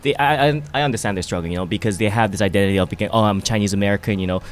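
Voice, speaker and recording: A man's voice: lowish voice, racing, a little hiss or hum. A young man from Hong Kong, a native Japanese speaker, chatting casually and peppering his talk with filler phrases like "you know". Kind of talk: casual conversation.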